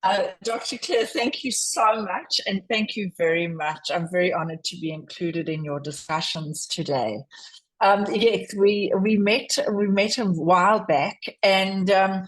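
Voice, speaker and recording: Slow-spoken South African woman panelist. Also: mid-range voice, rough recording. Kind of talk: panel discussion answer.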